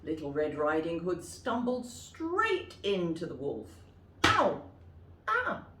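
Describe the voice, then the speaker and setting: Slow, very expressive woman storyteller. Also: sits low. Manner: expressive, deliberate, dramatic. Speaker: storyteller, teacher. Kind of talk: read-aloud storytelling for children. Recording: roomy, distant mic with faint noise.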